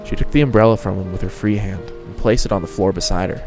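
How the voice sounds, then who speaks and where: A man serves as narrator for a piece of fan fiction. His voice sits fairly low as he reads the scripted prose, and light music plays behind him. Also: quick pace. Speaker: story narrator.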